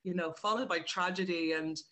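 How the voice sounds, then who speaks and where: A woman's voice: fairly low pitch, fairly even intonation, brisk, pushed and bright, rough recording. An Irish woman chatting as an interview guest, explaining her work in a wandering, self-correcting way. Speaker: writer and director, interview guest. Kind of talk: casual interview chat.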